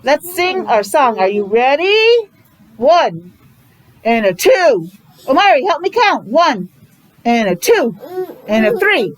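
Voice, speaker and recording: A woman's voice: high voice, sing-song, slow pace, long pauses, poor audio. An American woman teaching young children, talking in a sing-song way with simple repeated phrases and coaxing questions. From New England, USA. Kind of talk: interactive preschool lesson for young children.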